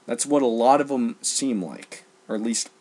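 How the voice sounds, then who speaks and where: A young man giving an earnest, exasperated, unscripted rant, speaking emphatically with halting pauses in a husky, gravelly voice. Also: mid-range voice, darkish tone. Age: teens to young adult. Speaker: online ranter.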